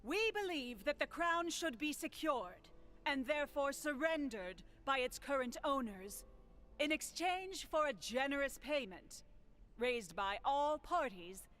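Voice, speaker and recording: A woman's voice: high-pitched, big pitch swings, fairly bright tone, full character voice. A woman playing a diplomatic guild chancellor making formal speeches in a fantasy video game. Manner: formal, measured oratory.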